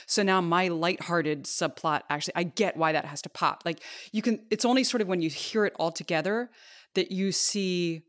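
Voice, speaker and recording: A middle-aged woman with a fairly low voice talks casually on a podcast. She explains things by acting out little imagined quotes, speaking close to a microphone. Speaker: podcast co-host and actor. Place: home kitchen. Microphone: close podcast microphone, headphones.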